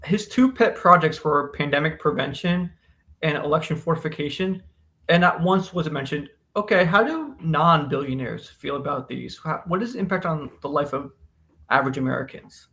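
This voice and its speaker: A man with a somewhat high-pitched voice co-hosts a casual book discussion. He is conversational and opinionated, putting questions on the table and debating the answers. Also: animated, moderate pace, sharp tone. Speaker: podcast co-host discussing a book.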